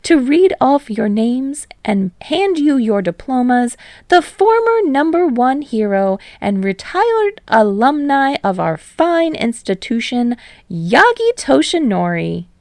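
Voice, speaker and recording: A woman's voice: high voice, very animated, slow, a little mellow, no echo, studio quality, voice pushed up, full character voice. A woman voicing a small, cheerful male principal creature giving a gleeful, speechifying address. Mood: beaming, gleeful.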